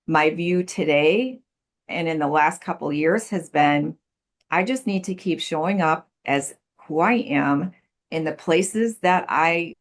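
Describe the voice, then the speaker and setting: A woman's voice: low-pitched, slow pace. A woman in her sixties, a podcast guest answering thoughtfully over a video call, earnest and repeating words as she searches for them. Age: 60s. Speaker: podcast guest, life coach. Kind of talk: reflective interview answers.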